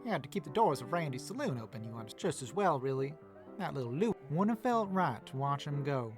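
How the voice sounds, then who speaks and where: Saloon keeper for a Western game, played by a woman: male, folksy, wordy, eager to please, very animated pitch. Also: fast talker, music in the background. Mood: chatty. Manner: wordy, showy, folksy salesman. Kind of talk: visual novel voice acting.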